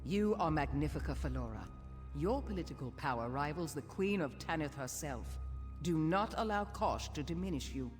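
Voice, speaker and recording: Droll male thief by an actor, lively pitch. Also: normal speed, natural voice. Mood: earnest, persuasive. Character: witty, flippant male thief with theatrical airs. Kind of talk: video game character acting. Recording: music behind the voice.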